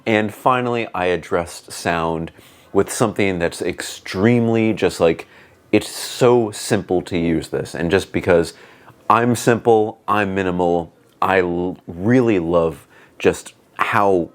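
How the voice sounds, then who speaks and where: A man with a booming voice giving a casual, rambling product review on a clip-on wireless mic, with lots of "like" and "um". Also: slow. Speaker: tech reviewer. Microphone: wireless clip-on lapel mic.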